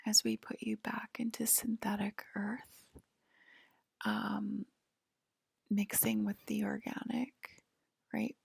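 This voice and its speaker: A woman doing a soft-spoken, whispery ASMR role-play as a calm alien guide, talking haltingly and matter-of-factly close to the mic. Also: a little high, no echo. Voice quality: breathy, whispery. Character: calm alien abductor guiding a patient aboard a UFO.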